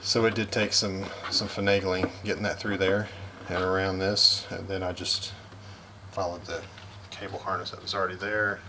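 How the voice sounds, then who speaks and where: Low-voiced man explaining a vehicle install. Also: normal speed, background noise. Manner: relaxed, practical, many fillers and restarts. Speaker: vlogger. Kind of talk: casual how-to walkthrough.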